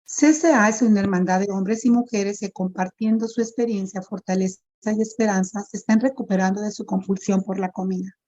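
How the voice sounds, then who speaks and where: A woman moderating a support group meeting, reading the opening statements and prayer in a measured, formal way over a video call. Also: mid-range voice, steady tone, darkish tone, lo-fi sound.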